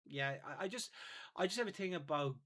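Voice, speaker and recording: Irish male podcast host, bright forward voice, halting, repetitive phrasing, relaxed conversational interview. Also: fairly high pitch. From Ireland. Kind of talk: casual interview chat.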